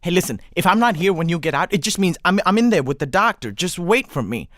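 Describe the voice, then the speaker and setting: Earnest young charmer, close and dry. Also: male voice, sits high, very animated, quick pace, a bit bright, no room sound. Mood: urgent. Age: young adult.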